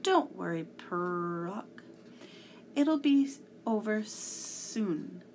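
Woman as sly male storybook cat, breathy, coaxing, polite, creaky, slow-paced. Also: mid-range voice, lively intonation, slight background noise. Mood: sympathetic. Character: sly, soft-spoken male cat, falsely friendly.

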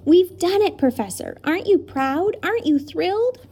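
Woman voicing eager young boy, high voice, urgent, cheering, storybook. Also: sing-song, normal speed, mellow, heavily acted. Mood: excited.

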